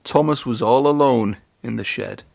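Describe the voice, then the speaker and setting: A man reading a children's story aloud in an expressive narrating style. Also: mid-range voice, normal speed, gravelly, no room sound, studio quality.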